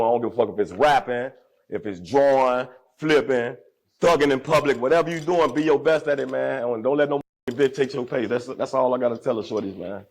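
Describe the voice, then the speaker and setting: A man, giving blunt, forceful advice in an interview clip. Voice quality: deep voice. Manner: forceful, speaks with aggression.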